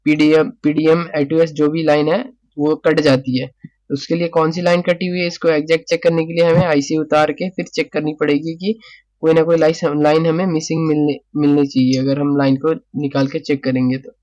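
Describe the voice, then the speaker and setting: A man with a fairly high voice teaches in a casual, explanatory way, like a technician at the bench. He repeats words, drops in English technical terms and keeps checking in, with a dry, close sound. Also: level tone, moderate pace, a little mellow, no echo, rough recording. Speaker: phone repair technician and tutor. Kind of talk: casual spoken repair tutorial.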